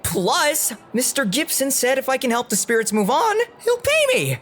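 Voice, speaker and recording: Nerdy young dreamer boy, played by a woman, pleading and idealistic, swinging widely in pitch, audio drama. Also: wildly swooping pitch, normal speed. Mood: eager, persuading. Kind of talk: scripted audio drama acting.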